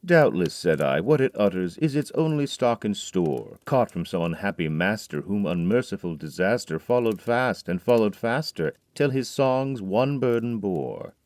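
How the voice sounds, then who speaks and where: A man voice acting a grieving, haunted man in an audio drama, reading dramatic verse that swings from hushed brooding to loud, pleading outbursts. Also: deep voice, dry recording, exaggerated voice.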